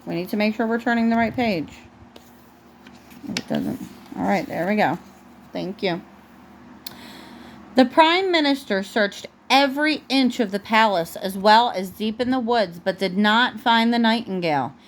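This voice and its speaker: A woman's voice: mid-range voice, expressive, a little slow, long pauses, slight background noise. A woman reading a storybook aloud as a mother to her young child, often breaking off to correct the child. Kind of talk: storybook read aloud at home, with asides to a child.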